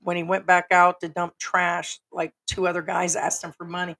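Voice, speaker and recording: A woman's voice: lowish voice, completely flat delivery, normal speed, bright, forceful tone. A woman co-hosting a livestream, telling a story in a casual, rambling way with lots of fillers. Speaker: livestream co-host.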